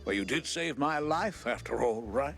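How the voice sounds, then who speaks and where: An actor playing a nervous, glad-handing cartoon politician who stammers and chuckles, in a 1930s-style game. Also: male voice, medium pitch, animated, a little slow, a bit bright, soft background music. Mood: uneasy, helpful. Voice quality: creaky.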